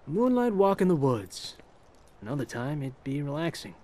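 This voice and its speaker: An actor playing an earnest, easygoing young fighter in a video game, plain and understated. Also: male voice, on the high side, big pitch swings, normal speed, warm tone. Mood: wistful. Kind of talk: video game cutscene acting.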